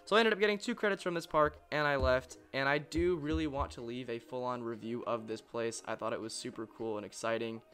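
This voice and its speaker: Excited young man narrating a vlog. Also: mid-range voice, a little fast, few pauses, soft background music. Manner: excited, storytelling enthusiast. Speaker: coaster vlogger. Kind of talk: voice-over narration. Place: voice-over, not at the park.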